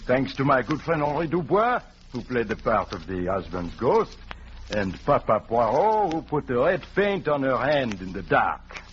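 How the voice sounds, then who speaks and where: Man playing a pompous, animated French-accented detective. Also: mid-range voice, normal speed, natural voice. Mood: smug, triumphant. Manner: pompous, courtly, speaks of himself grandly. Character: vain, shrewd foreign male detective, courtly and theatrical. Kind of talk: 1940s radio drama acting. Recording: dull, noisy 1940s radio recording.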